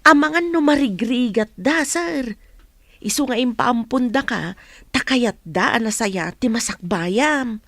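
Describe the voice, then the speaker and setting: Kind deferential maid in a radio play, really high voice, dry studio sound. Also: female voice, animated, unhurried, a bit bright, dry recording, heavily acted. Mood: consoling. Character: respectful, soothing female household helper, kind confidante. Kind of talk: Ilocano radio drama acting.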